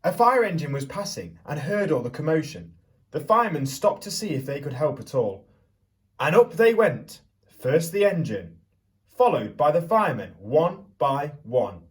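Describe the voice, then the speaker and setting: A man reading a picture book aloud to young children as a teacher would, theatrically, pausing to put questions to them. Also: on the high side, very animated, distant mic. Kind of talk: expressive children's storybook reading with questions to listeners.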